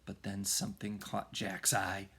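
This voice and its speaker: A man reading a children's storybook aloud in a dramatic manner, often hushed for suspense. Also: low-pitched, monotone, a little slow, slightly rough. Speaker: music teacher reading aloud.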